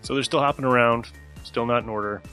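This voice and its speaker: A man's voice: mid-range voice, steady tone, fast talker, sharp tone, faint music behind. A man hosting a podcast, introducing it in a casual style. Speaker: podcast host.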